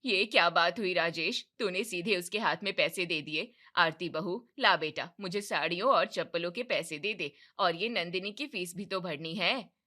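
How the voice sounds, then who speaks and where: A woman voicing a domineering, demanding mother-in-law who scolds loudly and indignantly. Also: low-pitched, normal speed, hardly any pauses, pitched below the performer's own voice. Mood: angry scolding.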